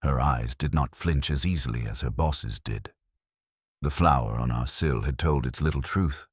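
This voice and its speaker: A man reading a first-person detective story as a scripted narration, measured and deliberate in short literary phrases. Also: rumbling low, slightly rough, dry recording, studio quality. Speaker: audiobook narrator.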